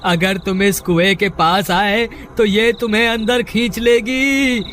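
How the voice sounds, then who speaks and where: A man's voice: high-pitched, expressive, moderate pace, slight background noise. A man playing anxious, earnest village brothers in a cartoon horror story, speaking in a dramatic, exclaiming way. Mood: urgent warning. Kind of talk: Hindi cartoon story acting.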